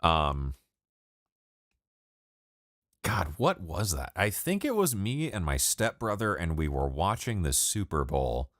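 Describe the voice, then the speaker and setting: A young man with a very low voice rambles through loose, profane chat on a live stream. He laughs often, and his voice sounds dry and close to the mic with no room around it. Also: big pitch swings, slow pace, long pauses, a bit bright, no room sound. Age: 20s. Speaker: music producer streaming live. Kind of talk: casual livestream chat with viewers.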